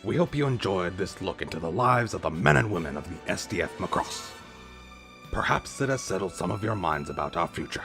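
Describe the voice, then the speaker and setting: A man playing a dry, solemn documentary narrator in a deadpan cartoon parody. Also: deep voice, a little fast, gravelly, music in the background.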